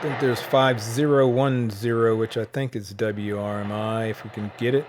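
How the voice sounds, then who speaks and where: Halting, casual man with noise behind him. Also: medium pitch, steady tone. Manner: halting, thinking aloud. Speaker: shortwave radio hobbyist presenting a bandscan. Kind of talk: casual unscripted demonstration commentary.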